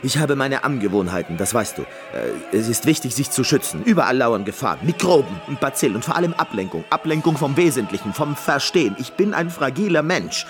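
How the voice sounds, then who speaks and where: An actor playing a neurotic, fragile, obsessive concert pianist in a radio play, with a halting, fussy manner. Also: male voice, mid-range voice, very animated, over music. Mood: agitated, defensive. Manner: halting, stammering, fussy, self-absorbed.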